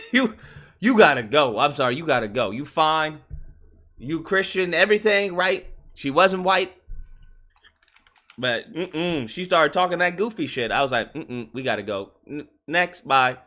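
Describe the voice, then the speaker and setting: A man's voice: fairly high pitch, expressive, unhurried, bright, forceful tone. A man hosting a live call-in show, blunt and profane, mocking his callers.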